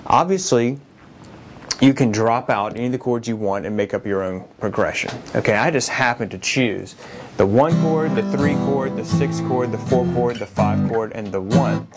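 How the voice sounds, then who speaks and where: A man teaches guitar chords in an easygoing, conversational manner, pausing to play examples. His voice sits at a middle pitch. Loud acoustic guitar plays right under his speech. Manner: relaxed, explains while strumming chords. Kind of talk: casual guitar lesson. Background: guitar played by the speaker.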